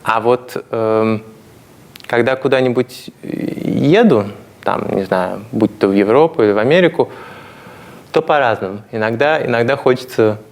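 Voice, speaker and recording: A man's voice: mid-range voice, moderate pace. A man with a mid-range voice answers in a documentary interview. He is thoughtful and now and then restarts his words.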